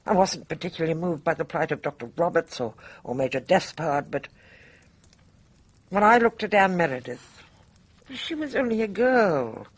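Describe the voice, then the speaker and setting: A husky, dignified older Englishwoman. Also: on the low side, animated, normal speed. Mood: resigned. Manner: composed, formal, grave. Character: dignified older woman, resolute, guilt-burdened bridge player. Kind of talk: radio drama acting.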